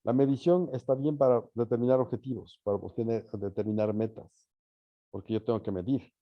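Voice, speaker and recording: A man teaching a class in an informal lecture style, pausing to think and often checking understanding with short tag questions. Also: mid-range voice, steady tone, dry recording, highs cut off. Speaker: university teacher leading an online or live class.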